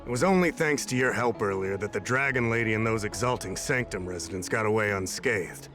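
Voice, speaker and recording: A man's voice: lowish voice, fairly quick, music in the background, natural voice. A man voicing a polite, earnest official in a video game. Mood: courteous, earnest.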